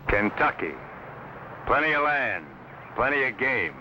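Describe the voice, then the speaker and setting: A man playing a proud frontier pioneer with measured, declarative delivery, in 1950s radio adventure style. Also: mid-range voice, lively intonation, sharp tone. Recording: muffled lo-fi old radio, faint noise.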